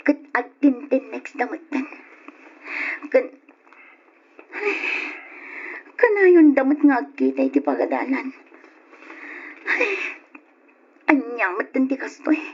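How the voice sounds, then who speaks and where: Slow, brooding young Ilocano woman. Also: sits high, sing-song, slightly rough, exaggerated voice. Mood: anguished, scheming. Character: jealous, lovesick, scheming young female student. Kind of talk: old radio drama acting. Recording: muffled radio recording, background hiss.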